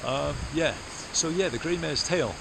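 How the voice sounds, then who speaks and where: A man from South Wales, chatting casually and haltingly to camera as he explores outdoors. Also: fairly high pitch, creaky. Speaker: vlogger, artist explorer. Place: outdoors in rainy, windy hills. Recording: loud wind and outdoor noise.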